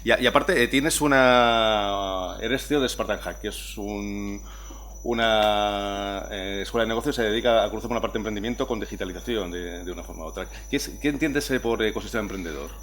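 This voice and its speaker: Here a man moderates a forum panel in a somewhat low voice. He introduces the next speaker hesitantly, restarting his phrases as he goes. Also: fairly quick, a bit bright, a little hiss or hum.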